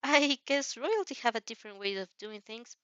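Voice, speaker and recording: A woman's voice: fairly high pitch, expressive, moderate pace, a bit bright, smooth, no echo, lo-fi sound, voice pushed up. A woman voicing a nervous, helpful teenage boy who stammers eagerly and reassures in an audio fan fiction reading. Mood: earnest, reassuring.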